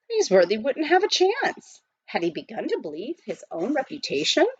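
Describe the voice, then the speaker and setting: Expressive woman reading a children's story. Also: sits high, moderate pace, dry recording, highs cut off. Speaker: audiobook reader.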